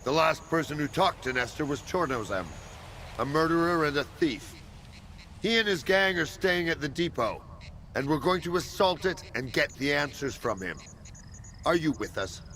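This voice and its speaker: Commanding military officer, higher-pitched male voice. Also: lively intonation, fairly bright tone, slight background noise. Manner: commanding, measured, clipped orders. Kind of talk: video game cutscene dialogue.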